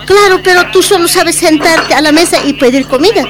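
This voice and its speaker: A woman's voice: very high-pitched, brisk, a crowd in the background, background noise. A woman playing a harried working mother in a radio drama, with a Bolivian accent and a complaining, argumentative manner. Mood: exasperated. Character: harried, exasperated working mother and market vendor. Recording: music behind the voice.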